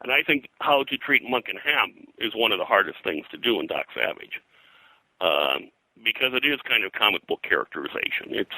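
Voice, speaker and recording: Deep-voiced older man, very bright and forceful. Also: animated, dry recording, rough recording. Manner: halting, detailed and explanatory. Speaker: interview guest, pop culture historian. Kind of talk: conversational interview, recollection and commentary.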